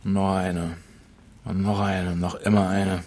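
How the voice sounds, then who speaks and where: A man's voice: sits low, level tone, a little slow, noisy background. A man playing an embittered, hard-drinking Berlin working man in a radio drama, brooding and blunt, with a Berlin accent. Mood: drunken, bitter. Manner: repetitive, brooding, blunt grumbling.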